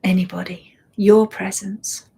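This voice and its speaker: A woman guiding a meditation, speaking softly and soothingly and often dropping into a whisper. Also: mid-range voice, little pitch movement, gravelly, husky. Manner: slow, soft and soothing, drifting into whispers. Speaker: meditation guide. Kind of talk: guided meditation.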